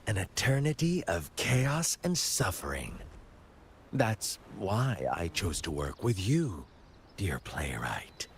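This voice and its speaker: An actor playing a cruel, unhinged villain in a video game cutscene, theatrical and mocking, with flamboyant drawn-out phrasing. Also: male voice, low voice, sing-song, a little hiss or hum, heavily acted. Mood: menacing.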